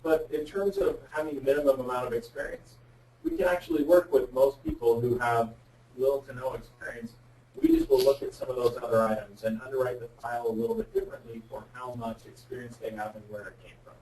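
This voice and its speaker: A man's voice: mid-range voice, fairly even intonation, fast, warm tone. A man giving candid, plain-spoken explanations as a guest expert on a web conference call. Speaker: guest speaker, insurance representative. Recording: roomy, distant mic with faint noise.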